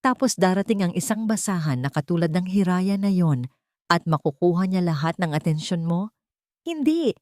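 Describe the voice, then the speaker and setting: A woman's voice: lowish voice, expressive, moderate pace, a little mellow, dry recording, lightly acted. Woman acting out a spurned scheming villainess, Filipino accent, jealous, indignant, insistent, audio love story, dry sound. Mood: bitter, jealous.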